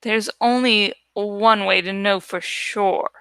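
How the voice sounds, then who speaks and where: A woman's voice: medium pitch, steady tone, slow pace, bright, forceful tone, clear, resonant tone, no room sound, voice pushed down. A woman voicing a crazed, lost old woman who hoards things in a shack, in an audiobook reading. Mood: eerie, suspicious. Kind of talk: audiobook character acting.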